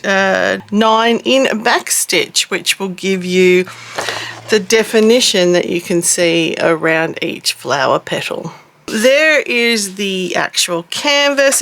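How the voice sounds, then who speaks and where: A middle-aged woman hosting a casual craft unboxing, her voice rough and husky from a cough, chatty and self-deprecating. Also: mid-range voice, a bit bright. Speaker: cross-stitch vlogger host.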